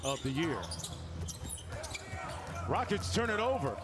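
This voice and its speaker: High-ish male basketball announcer. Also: very animated, takes it slowly, music in the background. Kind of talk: professional live sports play-by-play.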